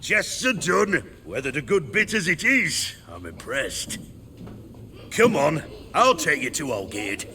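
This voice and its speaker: Brief: boisterous henchman, wildly swinging pitch, bright tone. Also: big pitch swings, slow, a little hiss or hum, heavily acted. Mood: rowdy. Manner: boisterous, mocking. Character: rough male bandit henchman. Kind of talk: game cutscene voice acting.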